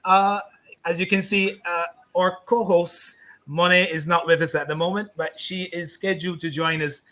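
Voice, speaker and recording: A man's voice: sits high, steady tone, normal speed, bright, forceful tone. A middle-aged man from Barbados hosting a live online talk show, upbeat and effusive, talking over a video call setup. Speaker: host.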